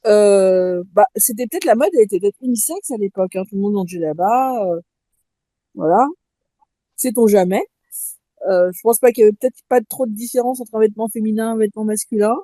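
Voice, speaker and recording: A woman's voice: medium pitch, mellow, dry recording. A woman taking part in a casual live audio discussion, speaking hesitantly with many fillers and a wry tone. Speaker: participant.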